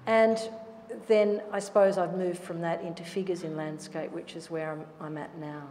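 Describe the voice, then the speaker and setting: A middle-aged woman talks about her work in a reflective, self-deprecating way. Her voice sits a little low. It echoes with long reverb and faint noise. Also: flat delivery, normal speed, dark tone. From Australia. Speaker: painter. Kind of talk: panel discussion answer. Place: art gallery room.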